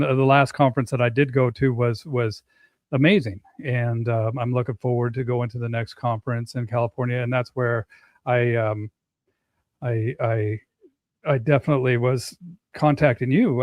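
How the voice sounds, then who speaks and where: A middle-aged man hosting a casual talk, speaking earnestly with frequent pauses and restarts. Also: medium pitch, darkish tone, no room sound. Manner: earnest, halting, many restarts. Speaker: host. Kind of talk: casual conversation.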